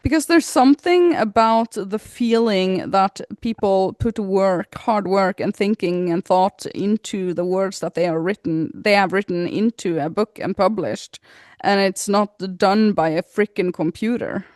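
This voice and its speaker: A woman co-hosting a podcast in casual chat, laughing often as she talks, her pitch jumping up suddenly. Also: unhurried, a little mellow, no room sound, studio quality. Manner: giggly, laughs often while talking. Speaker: podcast co-host.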